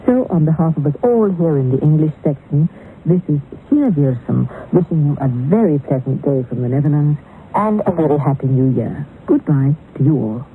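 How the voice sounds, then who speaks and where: A woman's voice: sits low, animated, normal speed. A woman radio announcer reading a scripted shortwave broadcast sign-off in a formal presenting style. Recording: tinny, noisy 1980s shortwave radio.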